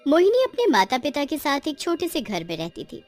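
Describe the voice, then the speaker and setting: A woman's voice: high voice, wildly swooping pitch, brisk, soft background music. A woman narrating a scripted cartoon story in a dramatic storytelling style.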